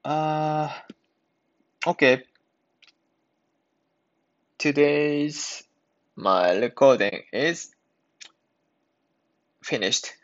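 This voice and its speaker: A man hosting an English practice podcast, speaking and reading aloud in careful, halting learner's English. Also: on the high side, animated. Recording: dull lo-fi sound.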